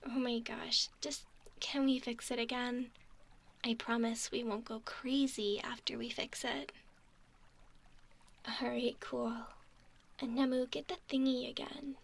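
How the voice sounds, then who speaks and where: A woman playing a wry, doting, bossy young mermaid in a whispered, husky ASMR roleplay. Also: a little high, little pitch movement. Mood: mildly exasperated. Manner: unhurried, gently chiding. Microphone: 3Dio binaural microphone, close.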